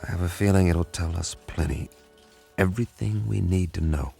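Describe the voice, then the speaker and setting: An actor playing a determined, authoritative senior codebreaker in a radio drama, speaking intently. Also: male voice, sits low, moderate pace, gravelly, warm tone, slightly rough, faint music behind, exaggerated voice. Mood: excited, scheming.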